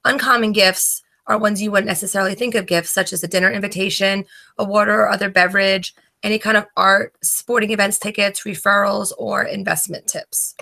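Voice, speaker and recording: Gravelly woman reading her debate notes, trips over words a bit, sharp bright voice. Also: medium pitch, steady tone, a little fast. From New Jersey, USA. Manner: reads from notes with frequent stumbles and restarts. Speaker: student debater. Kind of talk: reading a prepared debate presentation.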